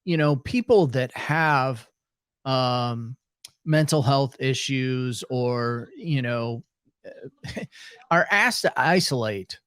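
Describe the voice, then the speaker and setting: A man's voice: medium pitch, animated, extremely slow, a bit bright, clean tone, no echo. A man hosting a podcast, talking casually and hesitantly with frequent restarts. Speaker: podcast host. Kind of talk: casual interview chat.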